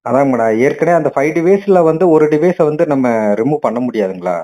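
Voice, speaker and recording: A man's voice: fairly high pitch, animated, brisk, warm tone. A man with a Tamil accent, a customer politely and persistently describing a problem on a phone call. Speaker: customer calling support.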